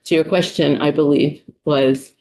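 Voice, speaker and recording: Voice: medium pitch, fairly even intonation, unhurried, a little mellow, poor audio. An official with a New York City accent testifying before a legislative committee, speaking conversationally with frequent ums and restarts. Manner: conversational, many ums, restarts mid-sentence. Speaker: state official testifying as a witness.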